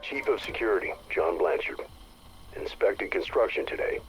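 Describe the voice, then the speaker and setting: An actor playing a gruff, uneasy chief of security musing in a game audio log, plainspoken and wry. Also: male voice, sits low, expressive, normal speed, soft background music. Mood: weary, reflective. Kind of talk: recorded audio log monologue. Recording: noisy audio log tape.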